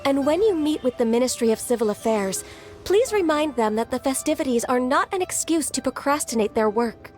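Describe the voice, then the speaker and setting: A dutiful young official in a video game. Her voice is high and soft, and she chooses her words in a formal, careful way. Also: lively intonation, faint music behind. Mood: measured. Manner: formal, measured, polite.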